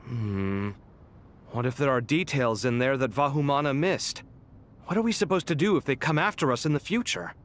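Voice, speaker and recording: An actor playing a conscientious young scholar in a video game, expressive and theatrical, quick to grumble. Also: male voice, mid-range voice, lightly acted. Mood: curious, businesslike. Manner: animated, theatrical. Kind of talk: video game dialogue acting.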